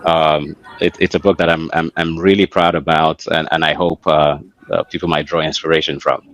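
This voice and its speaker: A middle-aged man with a Nigerian accent and a deep, low voice tells his story as an interview guest. He speaks conversationally and reflectively, pausing and repeating words while he gathers his thoughts. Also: little pitch movement, a bit bright. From Lagos, Nigeria. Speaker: interview guest, author and engineer.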